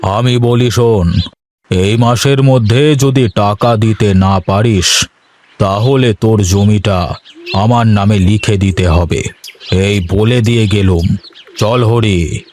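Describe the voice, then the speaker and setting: A man's voice: lowish voice, slow, darkish tone, slight background noise. A man voicing a stern, threatening village moneylender in a cartoon, with a commanding manner. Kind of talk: cartoon character dialogue.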